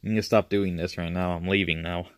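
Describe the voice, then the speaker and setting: A man's voice: low voice, steady tone, brisk, dry recording, natural voice. A fed-up young woman, put on by a man speaks firmly and curtly, brushing someone off. She is insistent, in the style of a character in a told tale. The recording is dry. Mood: firm, annoyed. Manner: insistent, curt. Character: firm, fed-up young woman rejecting an admirer.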